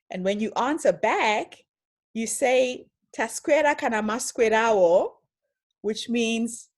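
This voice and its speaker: A woman with a slightly high voice speaks to an audience over a video call from her home. She lectures with enthusiasm and tells stories as she explains her ideas, then takes questions. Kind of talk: online lecture and Q&A.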